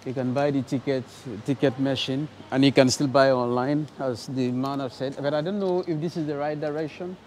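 A man's voice: medium pitch, steady tone, a little fast, few pauses, a little mellow, slight background noise. A young man from Nigeria, a travel vlogger talking casually to an arm's-length camera at a train station. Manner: chatty, friendly, thinks aloud to camera. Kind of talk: casual travel vlog narration. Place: airport train station and train carriage, indoors. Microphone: action camera held at arm's length.

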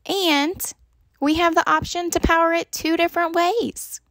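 A woman in her thirties reads a scripted product review. Her voice is high, and the recording is close and dry, with almost no room sound. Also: steady tone, a little slow, a bit bright, dry recording.

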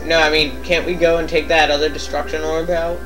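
A man's voice: a little high, little pitch movement, a little fast, music in the background. A man bantering casually over a video game, playful and given to silly exclamations. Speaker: let's play co-commentator.

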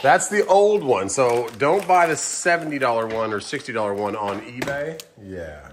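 Chatty dad vlogging on a handheld camera. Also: male voice, mid-range voice, normal speed. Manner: lively, chatty, playful with kids. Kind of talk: casual family vlog chat. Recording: noisy camera audio.

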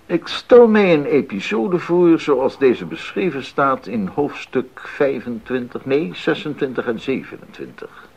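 A man's voice: mid-range voice, expressive, measured pace, gravelly, hardly any pauses, a little mellow, husky, heavily acted. An actor playing an earnest, devout male composer in a 1980s radio drama, speaking in a formal, emphatic way. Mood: thoughtful, earnest. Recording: slightly dull 1980s radio recording.

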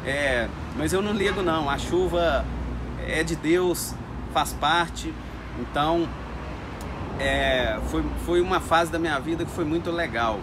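A man chats to the camera from inside an orchid greenhouse, often calling out to his viewers. His voice sits a bit high for a man. Steady background noise runs under the camera mic. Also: unhurried. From Brazil. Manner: chatty, keeps addressing viewers as "gente". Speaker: orchid grower. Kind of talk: casual talk to camera with growing tips. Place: indoors in a greenhouse full of orchids.

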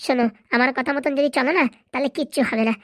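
A woman's voice: sits high, steady tone, no room sound, clean studio sound, lightly acted. A woman voicing a bossy, scheming wife in a cartoon. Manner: brisk, domineering. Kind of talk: cartoon voice acting.

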